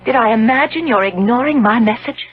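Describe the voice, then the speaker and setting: A woman's voice: fairly high pitch, a little fast, breathy edge. An actress playing a devoted, anxious young fiancée in a 1970s radio drama, earnest and hesitant in her melodramatic delivery. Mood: worried. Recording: dull, noisy old radio broadcast.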